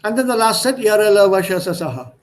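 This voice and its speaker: Male language tutor, Indian accent, high-pitched voice, explains didactically and demonstrates by repetition. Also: sing-song, quick pace. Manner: didactic, repeats and demonstrates sounds. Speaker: language teacher. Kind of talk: online language lesson.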